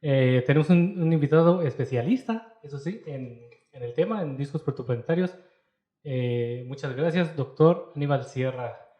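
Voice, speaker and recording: A man's voice: on the high side, moderate pace, echoey room, highs cut off. A man co-hosting a podcast, introducing the guest in a hesitant, casual way. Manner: hesitant, many fillers. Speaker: podcast co-host. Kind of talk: casual chat.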